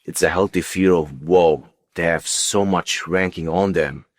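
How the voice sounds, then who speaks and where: Deep-voiced man telling a personal story. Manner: halting, repetitive, emphatic. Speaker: guest giving a personal testimony.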